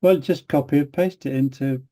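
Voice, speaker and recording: A man hosting an online meetup on a video call, relaxed and chatty as he welcomes people. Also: mid-range voice, normal speed. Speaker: meetup organiser. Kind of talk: casual video call chat.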